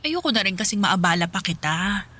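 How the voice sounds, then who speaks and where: A woman's voice: medium pitch, big pitch swings, a little fast, pushed and bright. A woman acting a weary, evasive girlfriend in a Filipino radio drama, speaking with firm exasperation. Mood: evasive, tired. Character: weary, evasive young woman pulling away from her boyfriend.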